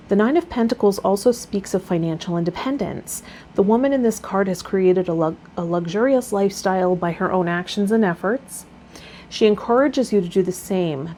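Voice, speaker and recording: A woman's voice: mid-range voice, dark tone. Woman tarot host over background noise. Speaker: tarot reader and channel host. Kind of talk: reading aloud and casual spiritual vlog talk.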